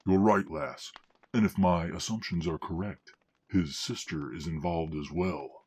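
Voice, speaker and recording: A grave man of a fantasy audio drama, acted by a man. His voice sits very deep with a husky grain. He speaks with sombre certainty. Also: a little slow, no room sound.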